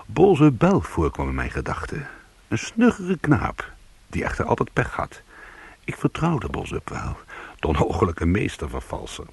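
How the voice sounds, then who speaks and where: Casting: streetwise, world-weary crook for radio noir, very raspy low voice, hard-boiled. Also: male voice, huge pitch swings, unhurried, no room sound, heavily acted. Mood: musing. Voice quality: husky, gravelly. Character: world-weary, streetwise male crook telling his own story. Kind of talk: radio crime drama, first-person narration and dialogue.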